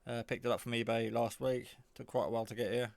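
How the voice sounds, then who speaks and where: A man giving a casual, off-the-cuff product demo, rambling in a low-key way as he thinks aloud. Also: level tone, quick pace, creaky. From England. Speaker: hobbyist reviewer.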